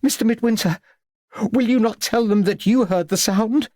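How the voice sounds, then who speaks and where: A man's voice: sits high, moderate pace, darkish tone, dry recording, pitched above the performer's own voice, natural voice. A man voicing an imperious old aristocratic lady in an audiobook story, agitated, insistent and demanding.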